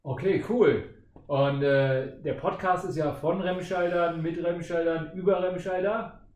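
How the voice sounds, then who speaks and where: Higher-voiced man hosting from across a room. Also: steady tone, measured pace, darkish tone, recorded from a distance. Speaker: podcast host. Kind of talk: casual interviewing. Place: indoor room shared with the guest. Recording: echoey room, off mic, faint noise.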